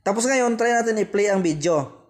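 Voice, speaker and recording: High-voiced Filipino man giving app tutorial. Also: expressive, normal speed. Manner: repetitive, addressing viewers directly as friends. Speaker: tutorial host. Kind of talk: step-by-step app tutorial voice-over.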